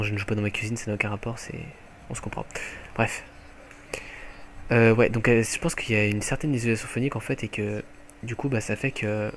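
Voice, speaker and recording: A young man with a French accent giving live commentary on his own online match from home, excitable and jokey, swearing often. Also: lowish voice, slight background noise. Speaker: gaming commentator. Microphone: headset mic.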